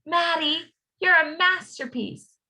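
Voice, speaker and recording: Woman reading a kindly, bright-voiced storybook dad. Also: very high-pitched, slow pace, voice pushed up, full character voice. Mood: proud. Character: kindly, amused father of a schoolboy. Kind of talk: children's storybook read-aloud.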